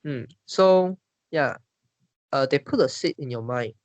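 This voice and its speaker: A man's voice: mid-range voice, animated, no echo. Malaysian english guy leading a chat, mid voice, lots of restarts, dry close mic. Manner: halting, many restarts and fillers. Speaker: discussion leader. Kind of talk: casual discussion.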